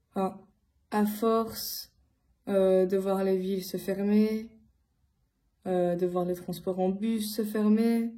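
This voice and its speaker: A woman from Belgium giving a casual personal account to her followers, speaking in a halting way with many filled pauses. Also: mid-range voice, mellow. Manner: hesitant, halting delivery with frequent long filled pauses. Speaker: vlogger addressing followers.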